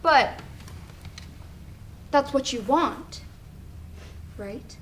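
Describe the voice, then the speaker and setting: A woman's voice: high voice, animated, extremely slow, a little hiss or hum, exaggerated voice. A young woman acting in a stage play as the sly, coaxing inner voice of another young woman. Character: sly, insistent inner voice, a young woman's hidden other self.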